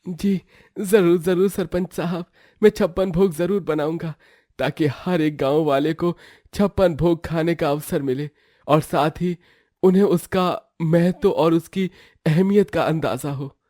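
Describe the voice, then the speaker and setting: A man's voice: fairly high pitch, steady tone, unhurried, dark tone, dry recording, studio quality, lightly acted. A man voicing a humble, earnest cook and father in a moral story, deferential in manner. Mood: grateful. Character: humble, pleading male cook, worried ageing father. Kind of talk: acted moral-story dialogue.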